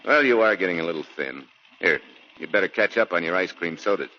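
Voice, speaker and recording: A man acting a gruff, affectionate father, a Marine major, in a 1940s radio drama, hearty and indulgent. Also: sits low, expressive, brisk. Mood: fond, teasing. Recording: dull, noisy 1940s radio recording.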